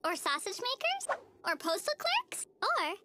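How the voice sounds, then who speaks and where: A woman voicing a naive, sweet, relentlessly cheerful little schoolgirl in a TV cartoon, with a childlike squeaky voice and a gushing, singsong, oblivious manner. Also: huge pitch swings, measured pace, exaggerated voice. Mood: eager, cheerful. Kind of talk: animated TV cartoon acting. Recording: music playing behind the voice.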